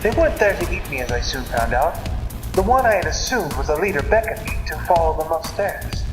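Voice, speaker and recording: A man narrating a scripted story in a theatrical, deliberate manner, like an old-time radio serial. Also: fairly high pitch, very animated. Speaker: narrator of a dream story series. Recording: echoey reverberant hall, loud music behind. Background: music.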